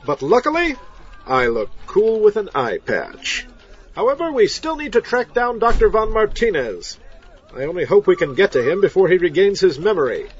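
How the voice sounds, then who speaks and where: An actor playing a vain, flippant, oblivious mad scientist, cheerfully callous, in a comedy audio drama. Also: male voice, sits high, very animated, normal speed, muffled recording, a little hiss or hum. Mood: smug. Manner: cheerfully callous, self-satisfied.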